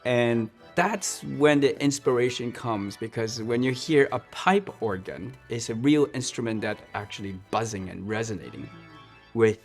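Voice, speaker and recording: A man's voice: medium pitch, expressive. Earnest man, church music director. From Hong Kong. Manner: earnest, restarts and repeats words, slows on key points. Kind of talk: interview, personal storytelling.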